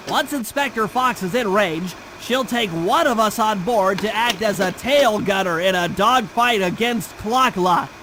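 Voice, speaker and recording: A man's voice: sits high, noisy background. A man voicing a nerdy, earnest young turtle strategist in a cartoon game, with precise, wordy delivery. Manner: precise, wordy technical briefing. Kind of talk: video game cartoon voice acting. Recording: loud game music behind.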